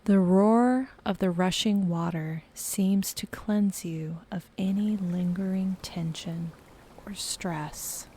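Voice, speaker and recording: A woman narrating a guided meditation softly and slowly, breathy and often close to a whisper. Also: mid-range voice, slight background noise. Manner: soft, slow, partly whispered.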